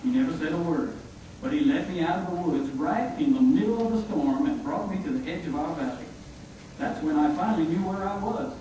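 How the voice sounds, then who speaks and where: A man voicing a folksy, gentle frontier father in a puppet show, telling things earnestly. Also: high-pitched, animated, fairly quick. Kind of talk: scripted church puppet show dialogue. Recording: echoey room, distant mic, faint noise.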